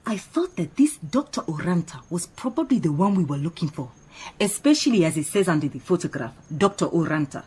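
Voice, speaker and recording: Animated, opinionated woman assistant. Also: on the low side, a little fast, breathy edge, exaggerated voice. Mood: proud, eager. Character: earnest, opinionated female detective's assistant, proud and chatty. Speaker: actor in radio drama. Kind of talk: radio drama acting.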